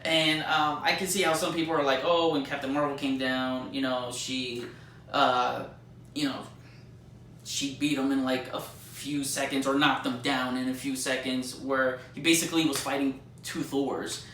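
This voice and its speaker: A man's voice: mid-range voice, little pitch movement, moderate pace, bright, forceful tone. A man giving a casual, rambling movie review with slangy, mildly sweary and emphatic fan enthusiasm. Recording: roomy echo, distant mic, faint noise.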